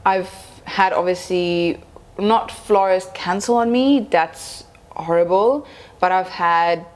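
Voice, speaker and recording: A woman's voice: on the low side, slow pace. A young woman, chatting casually as an event planner on a podcast and telling stories. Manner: chatty, storytelling, slips into Afrikaans phrases.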